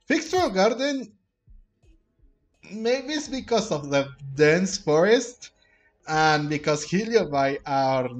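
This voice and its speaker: A man giving casual, halting game commentary and reactions, often with a chuckle. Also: a little high. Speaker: gameplay streamer.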